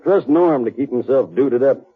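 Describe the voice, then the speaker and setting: A bitter, jealous cowhand with a very rough, husky voice and a terse country drawl. It is western radio drama, heard through a thin, phone-like old recording. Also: male voice, medium pitch, animated, moderate pace, soft background music. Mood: brooding, insistent.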